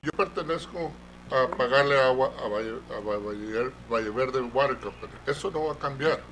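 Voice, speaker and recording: A man with a mid-pitched voice interpreting at a council meeting, halting and restarting as he goes. A faint hiss of background noise sits under his voice. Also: fairly bright tone, poor audio. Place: council chamber.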